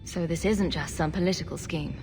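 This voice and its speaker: A woman playing a level-headed, diplomatic hero in a fantasy video game, speaking in a measured, questioning way. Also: fairly low pitch, natural voice. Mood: calm, probing. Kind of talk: game cutscene dialogue. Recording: reverberant, music under the voice. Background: music.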